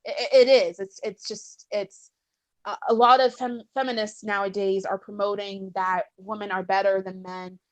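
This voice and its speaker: A woman with a medium-pitched voice shares her views in an informal discussion. Her delivery is halting, with many repeated words and sentences begun again. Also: flat delivery. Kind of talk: casual opinionated discussion.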